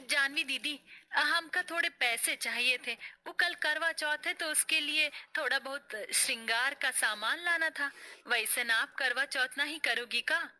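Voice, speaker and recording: Here is a humble young village wife acted by a woman in a cartoon drama. The voice is high and very bright. She speaks in respectful rustic dialect with a self-sacrificing, soft-hearted temper. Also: measured pace, exaggerated voice. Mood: hesitant, polite.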